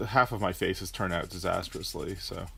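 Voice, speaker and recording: A man chatting casually as a podcast guest, halting and curious. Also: sits low, gravelly, bright, forceful tone, slightly rough. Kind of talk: casual chat.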